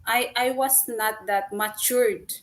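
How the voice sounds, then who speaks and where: A middle-aged woman from the Philippines with a Filipino accent, speaking English as a second language as an interview guest, halting, repeating words and laughing often. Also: on the high side, fairly even intonation. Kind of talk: personal story interview.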